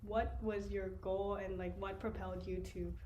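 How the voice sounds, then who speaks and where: A woman's voice: medium pitch, flat delivery, normal speed, mellow, highs cut off. A woman hosting a podcast who asks casual interview questions hesitantly and fills her sentences with filler words. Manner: hesitant, uses many fillers. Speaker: podcast host. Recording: echoey room with faint noise.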